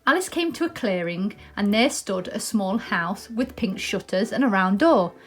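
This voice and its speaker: A woman's voice: medium pitch, lively intonation, moderate pace, faint music behind. A British woman presenting and reading a children's story aloud.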